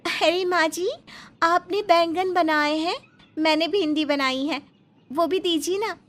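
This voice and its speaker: Woman acting very high, sweet young daughter-in-law. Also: unhurried, a bit bright. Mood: sweet, eager. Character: sweet, obliging young daughter-in-law, proud home cook.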